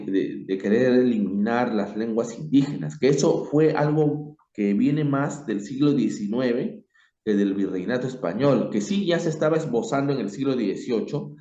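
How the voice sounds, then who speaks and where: Peruvian man, low dark voice, reflective informal talker, halting with emphatic stresses. Dull room sound. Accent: Peruvian Spanish. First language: Spanish. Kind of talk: informal spoken opinion on history. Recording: dull, echoey room recording.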